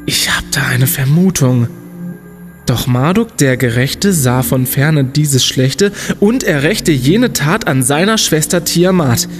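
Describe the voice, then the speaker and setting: An actor playing a clever, confident young student sleuth in a comic radio play, explaining things in a deliberate, declamatory way. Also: male voice, medium pitch, expressive, soft background music. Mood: suspicious, musing. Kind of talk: German comedic audio drama acting.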